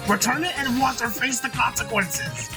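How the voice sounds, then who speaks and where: A woman's voice: on the high side, moderate pace, lightly acted. A woman voicing a stern, condescending villain accusing someone in formal terms in a comedy dub. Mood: menacing. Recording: music behind the voice.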